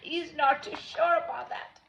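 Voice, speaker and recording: A woman reading a storybook aloud to young children in an expressive, drawn-out way. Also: sits high, gravelly, lo-fi sound, slight background noise. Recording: echoey room.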